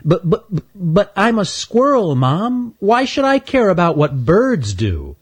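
A man voicing a timid young male squirrel who stutters nervously in a high, husky voice. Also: huge pitch swings, takes it slowly, exaggerated voice. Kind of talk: storybook character voice.

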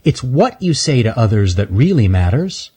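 A man voicing a sweet, kind little girl squirrel who speaks gently and kindly. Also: mid-range voice, big pitch swings, normal speed, husky, voice pushed up. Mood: gentle, curious. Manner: gentle, friendly.

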